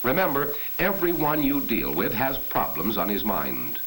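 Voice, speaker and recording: A man's voice: mid-range voice, sing-song, measured pace, fairly bright tone, poor audio. A man narrating an instructional film in earnest 1940s style, addressing the viewer directly as he teaches. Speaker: narrator of a corporate training film. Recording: hissy 1940s film soundtrack.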